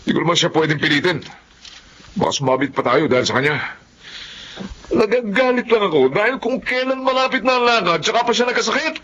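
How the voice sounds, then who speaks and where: A man playing a hot-tempered, bossy burglar in a radio drama, gruff and impatient, with a Filipino accent. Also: sits high, expressive, fast talker, fairly bright tone. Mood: irritated. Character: hot-tempered, bossy male burglar leading a younger accomplice. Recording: muffled, noisy lo-fi drama recording.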